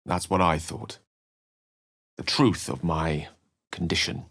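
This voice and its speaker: A man's voice: deep voice, steady tone, slow, vocal fry, a bit bright, husky, no echo, studio quality, full character voice. A man voicing an eloquent, courtly wizard companion in a fantasy video game, speaking formally and theatrically. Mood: regretful, tender. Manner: articulate, formal, theatrical phrasing. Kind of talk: fantasy video game voice acting, dramatic narration and dialogue.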